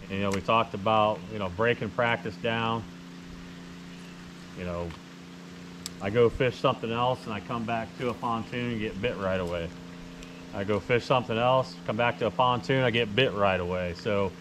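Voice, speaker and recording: A middle-aged American man giving casual, folksy, rambling commentary to viewers as he fishes. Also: on the low side. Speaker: fishing vlogger and tournament angler. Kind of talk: casual running commentary to camera. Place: outdoors on a small boat on a lake. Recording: outdoor action-camera sound with heavy ambient noise.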